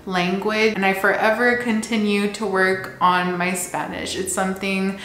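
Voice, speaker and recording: Young woman counselor from the Texas border, flat delivery, roomy sound. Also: mid-range voice, unhurried. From Texas border region, US. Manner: candid, conversational, slips in Spanish words. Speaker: licensed counselor. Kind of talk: casual talk to camera. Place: indoor home room with plants and shelves.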